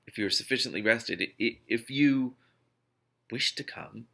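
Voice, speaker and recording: A man voicing a calm, formal vampire in a novel reading, slow, patient and measured. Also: mid-range voice, gravelly, full character voice.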